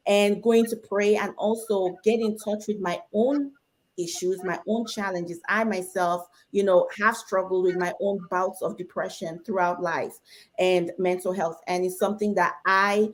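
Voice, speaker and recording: A middle-aged woman from Nigeria speaks as a guest on an online panel. She talks candidly and earnestly, in a voice of medium pitch. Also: steady tone, a little slow, low-quality recording. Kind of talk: webinar panel discussion.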